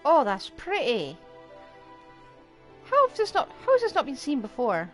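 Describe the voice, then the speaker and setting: Animated woman casually commenting on gameplay. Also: high-pitched, a little slow. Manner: musing aloud, thinking in questions. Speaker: game streamer. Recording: faint game music behind. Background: game music.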